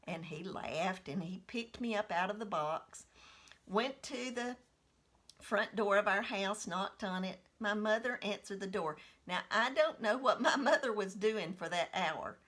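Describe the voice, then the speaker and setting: A woman in her sixties telling a personal childhood story in an unhurried, reminiscing manner. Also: mid-range voice, a bit bright. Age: 60s.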